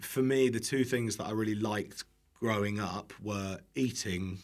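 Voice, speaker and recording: A man's voice: on the low side, unhurried. A young man with a British accent chatting casually as a podcast guest, self-deprecating and prone to swearing. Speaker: podcast guest, online cooking creator. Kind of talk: casual chat.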